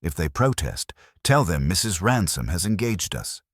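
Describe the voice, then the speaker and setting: A man acts out a ponderous, commanding detective in a mystery audiobook. The very deep, rough-edged voice delivers formal, exacting sentences with pompous authority. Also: sing-song, normal speed, dry recording, studio quality, full character voice.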